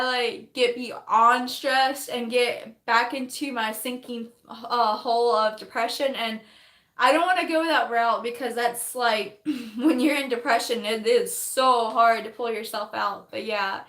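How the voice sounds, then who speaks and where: A woman's voice: on the high side, a bit bright, echoey room. Chatty young woman, voice worn thin. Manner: chatty and fast, laughs often, thanks viewers by name. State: losing her voice. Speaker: livestreaming vlogger. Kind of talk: rambling live chat while doing makeup.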